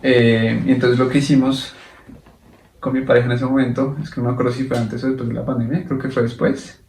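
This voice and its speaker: A young man talks through his story on a podcast, hesitant and halting, with plenty of fillers. His tone stays flat and even. The mic catches him from a distance in a room with noticeable echo. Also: medium pitch. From Colombia. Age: young adult. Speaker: podcast co-host. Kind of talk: casual conversation.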